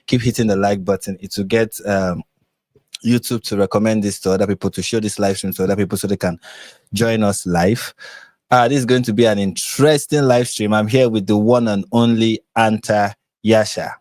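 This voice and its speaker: A man's voice: on the low side, fairly even intonation, fairly quick, darkish tone, no room sound. A man with a Nigerian accent, hosting a casual live stream and laughing often as he chats. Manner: laughs often, chatty and playful. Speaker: host.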